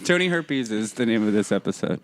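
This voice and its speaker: A man co-hosting a casual podcast, dropping deadpan wisecracks. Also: medium pitch, fairly quick. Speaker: podcast co-host. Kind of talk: casual banter.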